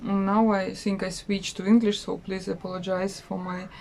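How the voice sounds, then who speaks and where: A woman's voice: mid-range voice, flat delivery, normal speed, vocal fry, a little mellow. Chatty woman with a Russian accent. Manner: chatty, hesitant with many fillers. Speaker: travel vlogger. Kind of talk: casual travel vlog.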